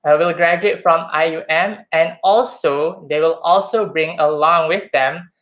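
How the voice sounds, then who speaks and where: A man's voice: on the high side, fairly even intonation, measured pace, a bit bright, muffled recording. A young man with a Malaysian accent giving a chatty, somewhat hesitant student campaign speech, often calling his audience guys. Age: young adult. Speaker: student election candidate.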